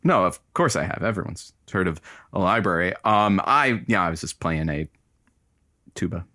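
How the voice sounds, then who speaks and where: A man's voice: low-pitched, expressive, moderate pace, bright, forceful tone, no echo, studio quality. A man playing a goofy radio producer and sidekick who banters playfully in a scripted audio comedy. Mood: sheepish, joking. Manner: wisecracking, playful.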